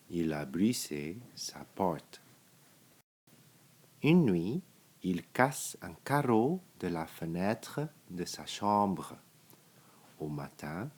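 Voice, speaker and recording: A man with a very deep voice reads a picture book to children. He takes it very slowly, phrase by phrase, leaving deliberate pauses. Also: a little mellow. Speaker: storybook reader.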